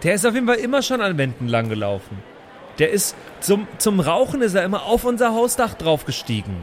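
Rookie superhero, young and excitable, high lively pitch, male player acting, improvised role-play, noisy recording. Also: brisk. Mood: sheepish, casual. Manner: slangy, excitable. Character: eager young superhero rookie, wall-walker, just out of school. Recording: clear background noise.

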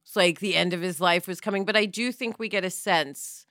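A middle-aged woman co-hosting a podcast, chatting in a lively way with lots of asides. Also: on the low side, a little fast, dry recording, clean studio sound. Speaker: podcast co-host. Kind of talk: casual podcast discussion.

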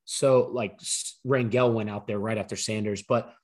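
A man co-hosting a sports podcast, his voice slightly hoarse from losing it, explaining things in an easygoing, conversational way. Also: lowish voice, fairly even intonation, moderate pace, a little mellow. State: partly lost voice, slightly hoarse. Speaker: podcast co-host. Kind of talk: casual sports podcast chat.

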